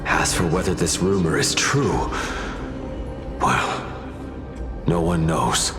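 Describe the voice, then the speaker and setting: An actor playing a weary man who passes on rumors in a hushed, halting, breathy voice in a game drama. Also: rumbling low, exaggerated voice. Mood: hushed, grave. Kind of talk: dramatic scripted game voice acting. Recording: music behind.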